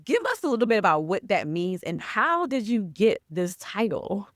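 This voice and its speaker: A woman's voice: medium pitch, big pitch swings, normal speed, fairly bright tone, no echo, clean studio sound. A woman hosting a podcast as a coach, upbeat and promotional, posing rhetorical questions to listeners. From Mexico.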